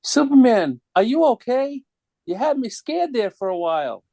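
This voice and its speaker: A man's voice: very high-pitched, big pitch swings, normal speed, vocal fry, warm tone, no room sound, pitched above the performer's own voice, exaggerated voice. A man voicing an eager, grateful young boy with an earnest manner in a comic book table read. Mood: worried.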